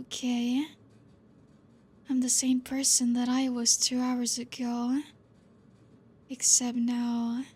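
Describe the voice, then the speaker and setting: Woman doing a possessive vampire teacher, ASMR, soft and breathy, very slow and even, gently teasing. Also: on the high side. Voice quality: soft, breathy, half-whispered. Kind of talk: ASMR roleplay.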